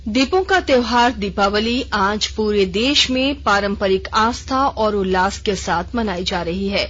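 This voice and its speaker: A woman reading a regional radio news bulletin in a measured, formal way. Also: mid-range voice. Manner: measured, formal, even delivery. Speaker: radio newsreader. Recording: dull lo-fi radio broadcast.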